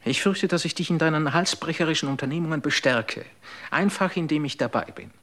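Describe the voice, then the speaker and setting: An actor in a radio drama playing a devoted, worried companion, speaking gently and earnestly, often in a hushed voice. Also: male voice, on the high side, fairly quick.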